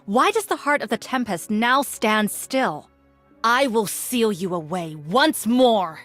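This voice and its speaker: An actress playing a solemn, vengeful guardian warrior in a video game, delivering grave, measured pronouncements. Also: female voice, fairly high pitch, expressive, fairly bright tone, dry recording. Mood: stern accusing. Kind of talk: dramatic game character voice lines. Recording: game audio with faint music.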